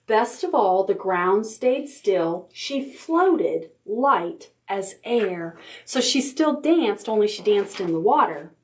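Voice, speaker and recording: A woman reads to preschoolers at storytime in a mid-range voice. Her pace is slow and measured, with rhythmic phrasing and stretched-out words. She sounds like a children's librarian working through a picture book. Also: mid-range voice. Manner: expressive, rhythmic reading with drawn-out words and chanted sound words.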